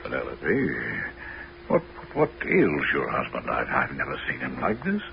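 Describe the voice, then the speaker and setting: An actor playing a forceful Greek king bent on war in a 1970s radio drama. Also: rumbling low, wildly swooping pitch, unhurried, creaky, heavily acted. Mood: puzzled, concerned. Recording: dull, faintly noisy old radio recording.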